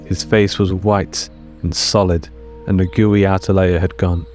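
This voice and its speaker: A man narrates a fiction story in a fairly low voice. He is measured and deliberate, setting out short dramatic phrases over a music bed. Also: little pitch movement, darkish tone.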